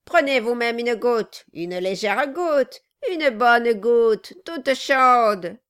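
A woman voicing a malicious male dwarf in an audiobook, loud, commanding and mocking. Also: on the high side, animated, takes it slowly, clean tone. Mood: sly coaxing. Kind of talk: dramatized audiobook reading.

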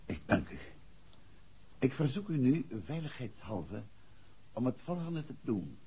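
An actor playing a composed, courteous private detective in a 1960s Dutch radio drama, with measured phrasing. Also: male voice, mid-range voice, big pitch swings, mellow, breathy edge, exaggerated voice. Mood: courteous, reassuring. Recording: dull, muffled vintage radio drama recording.

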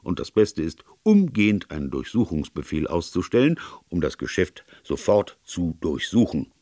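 A man's voice: deep voice, big pitch swings, normal speed, darkish tone, no echo, clean studio sound. A man voicing a courteous, calculating police chief who speaks formally and deferentially in an audiobook story.

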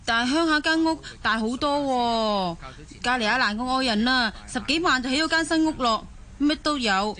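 A woman's voice: high-pitched, normal speed, bright, forceful tone. A woman acting a discontented, outspoken young wife and mother from the mainland in a Cantonese radio drama. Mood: disappointed, complaining. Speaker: voice actor in an audio drama.

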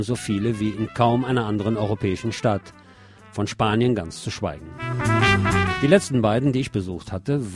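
A man's voice: fairly low pitch, a little mellow. A man hosting a radio magazine, reading scripted commentary with wry, opinionated asides and emphatic delivery. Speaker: radio show host. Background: music under the voice.

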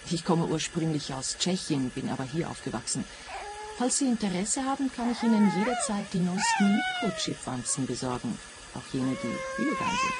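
A woman's voice: on the low side, noisy background. An actress playing a composed young widowed mother who speaks matter-of-factly in a radio play.

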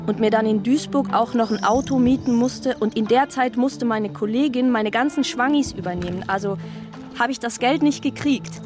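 A woman's voice: fairly high pitch, normal speed, close to an everyday voice. An actress playing a chatty woman who rambles and keeps digressing, in a radio drama monologue. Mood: rambling. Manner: rambling, digressive, self-interrupting. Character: chatty woman rambling about her tax return. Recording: radio drama with clear music behind.

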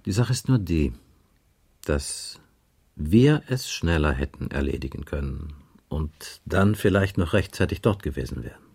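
An actor playing a probing, officious police inspector taking a statement in a radio crime drama, pressing insistently with each question. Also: male voice, a bass voice, lively intonation, a little slow, gravelly, a little mellow, husky, heavily acted. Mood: stern, methodical. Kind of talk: 1980s German radio crime drama acting.

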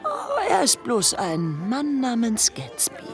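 A woman's voice: a little high, huge pitch swings, slow pace, full character voice. Breezy sceptical society girl in a radio drama, very up and down, bit of music behind. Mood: knowing, sceptical. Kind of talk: German radio drama acting. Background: faint music.

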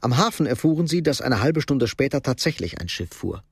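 A man playing the sober narrator of a crime radio drama, telling the story in a measured, matter-of-fact way. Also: medium pitch, very animated, slight background noise.

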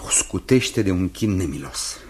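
An actor playing a grave, anguished uncle and formal music master in a radio drama, speaking in a measured, theatrical way. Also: male voice, sits low, animated, darkish tone. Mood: pensive, pained. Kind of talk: Romanian radio theatre acting.

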